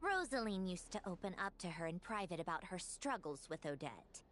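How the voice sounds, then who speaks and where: A woman's voice: fairly low pitch, very animated, a little fast, a little hiss or hum. A woman playing a cool, haughty young noblewoman in a video game, speaking in a composed, aloof and precise way. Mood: matter-of-fact. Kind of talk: video game character acting.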